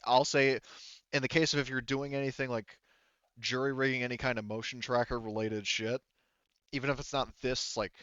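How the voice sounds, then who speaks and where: A man's voice: medium pitch, fairly bright tone, clean tone, dry recording, dull audio. A man casually running a tabletop roleplaying game as game master, thinking aloud in an informal way.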